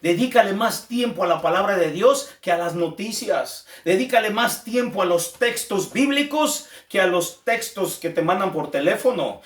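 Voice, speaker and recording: Fervent preacher man in an echoey room. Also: high-pitched. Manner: fervent, repetitive preaching, calls listeners brother. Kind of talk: sermon.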